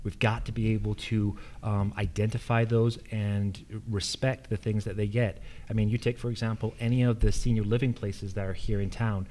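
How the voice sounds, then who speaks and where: Man, American accent, warm relaxed tone, lowish pitch, explanatory, candidate forum answers. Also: moderate pace. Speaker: incumbent candidate for local assessor.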